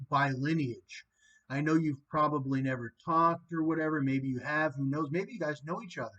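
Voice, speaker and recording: A man's voice: a little high, a bit bright, muffled recording. A man from Canada hosting a casual phone chat, rambling with lots of fillers. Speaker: host calling a guest.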